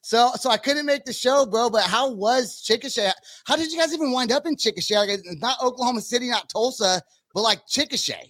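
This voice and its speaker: A man's voice: extremely high pitch, lively intonation, fairly quick, hardly any pauses, a bit bright, no room sound. A man from California hosting a casual rock podcast, talking in a slangy, profane, informal way. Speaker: podcast host.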